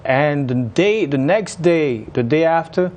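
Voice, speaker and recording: A man from Haiti with a Haitian accent, a municipal engineer chatting as a guest in an interview and often pausing on fillers. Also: fairly high pitch, expressive, a little mellow, lo-fi sound. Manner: hesitant, many uh fillers and restarts. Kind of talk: casual interview chat.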